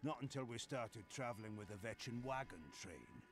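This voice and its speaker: Man as lively, plain-spoken travelling merchant. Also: medium pitch, moderate pace, over music, natural voice. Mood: wistful, easygoing. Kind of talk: fantasy video game voice acting. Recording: faint background ambience.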